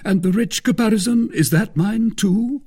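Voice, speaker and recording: A man playing an excitable young gentleman, high and husky. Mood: amazed delight. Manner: exclamatory, astonished questions, drawn-out words. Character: excitable young gentleman musketeer, delighted, vain, proud. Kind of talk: storybook character dialogue.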